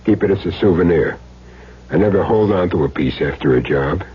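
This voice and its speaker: An actor playing a calm, hard-boiled hit man with terse, sardonic delivery in an old radio crime drama. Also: sits low, fairly quick, slightly rough. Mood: cool, instructing. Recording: noisy muffled old radio recording.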